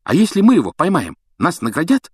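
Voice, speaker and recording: Man playing an excitable, high-pitched young boy. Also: a little fast, breathy edge, no echo, studio quality, heavily acted. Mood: eager. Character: excitable, eager thirteen-year-old boy.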